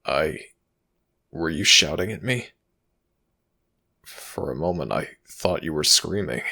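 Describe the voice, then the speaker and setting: A man playing an ancient, protective god in an intimate ASMR audio roleplay, speaking in a halting, intense way with a gravelly, breathy voice. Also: very deep voice, lively intonation, pushed and bright, heavily acted. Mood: subdued, tender. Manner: halting, drawn-out, intense delivery.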